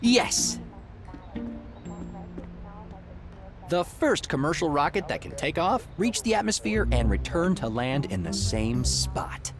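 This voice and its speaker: An actor playing an earnest, excitable young rocket inventor in an adventure game, with a boyish, easily flustered, eager manner. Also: male voice, a little high, unhurried, music in the background. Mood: awkward, eager.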